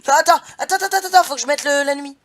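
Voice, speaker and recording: A man's voice: very high-pitched, very fast, a bit bright. A young man hosting a casual gaming session, laughing often and swearing playfully at his partner. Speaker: gaming channel host.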